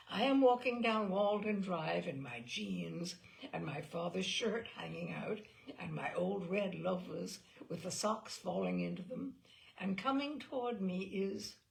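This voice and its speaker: A woman giving an expressive, wry dramatic reading of a comic personal essay, with deliberate pauses and emphasis. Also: medium pitch, vocal fry. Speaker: reader of humorous essays.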